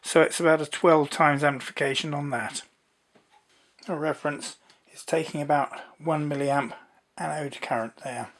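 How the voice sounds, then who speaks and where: Medium-pitched voice, informal electronics demonstrator, unhurried, explanatory, hands-on delivery. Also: fairly even intonation.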